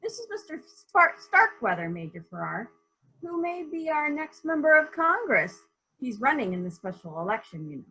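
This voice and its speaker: A woman playing a brisk, probing investigator who questions witnesses deliberately in a murder mystery reading. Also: sits high, big pitch swings, sharp tone, muffled recording, a little hiss or hum, exaggerated voice. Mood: composed, dry.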